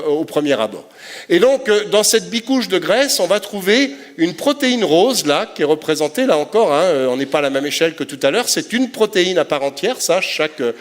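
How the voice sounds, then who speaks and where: A man giving a science lecture to an audience, explaining step by step with everyday comparisons and frequent verbal fillers. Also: on the high side. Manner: explanatory, uses everyday metaphors, frequent "hein" and "euh". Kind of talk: public science lecture with slides. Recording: long hall reverb.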